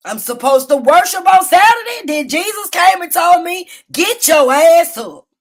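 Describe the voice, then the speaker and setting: A woman hosting a casual livestream, telling stories in a loud, profane and animated way. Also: measured pace. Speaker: livestream host. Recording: low-quality phone stream.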